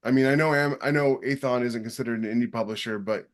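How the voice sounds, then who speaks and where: A man hosts a podcast interview in an informal, conversational way. His voice sits at a middle pitch. He makes his points with emphasis and often rewords them as he goes. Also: fast talker. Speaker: podcast host. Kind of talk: casual interview discussion.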